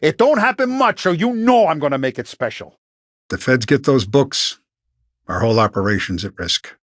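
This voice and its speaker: A commanding Italian-American mafia boss from a 1930s gangster video game. His middle-aged male voice moves widely up and down in pitch, recorded dry and close. He talks in blunt street slang, with authority and a vengeful edge. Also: expressive, normal speed, a bit bright, dry recording. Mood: stern, pressing.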